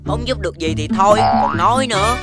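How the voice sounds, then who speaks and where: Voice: mid-range voice, animated, quick pace, lightly acted. A performer voicing a huffy, competitive young pig in a children's cartoon, with a taunting manner. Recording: music under the voice.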